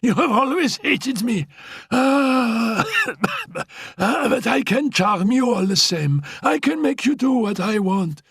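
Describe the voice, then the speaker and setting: A man's voice: expressive, takes it slowly, fairly bright tone, slightly rough, no echo, studio quality, exaggerated voice. A man voicing a dying young woman in an audiobook, breathless and coughing, defiant and imperious, laughing between pleas and commands. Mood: feverish, defiant. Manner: high-pitched, laughing, pleading and commanding. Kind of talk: audiobook character reading.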